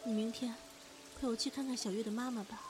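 A woman playing a guilt-ridden, fragile young woman in an audio drama, halting and sorrowful. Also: a little high, steady tone, close to an everyday voice. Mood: sad, pleading. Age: young adult. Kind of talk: Chinese audio drama acting.

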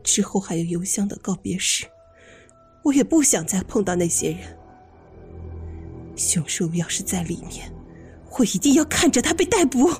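A woman's voice: mid-range voice, very animated, moderate pace, pauses often, darkish tone, faint music behind, lightly acted. An actress playing a bitter, guarded bereaved mother, suspicious and defensive, in an audio drama. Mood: defensive, agitated.

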